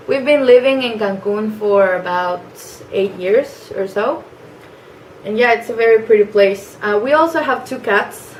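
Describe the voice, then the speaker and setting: A nineteen-year-old woman with a Mexican accent introduces herself in English, her native language being Spanish. She talks softly and hesitantly, with many pauses and fillers. Her voice has a roomy echo over a faint hiss of background noise. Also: medium pitch. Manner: soft-spoken, hesitant with frequent fillers. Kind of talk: casual self-introduction video. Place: family home.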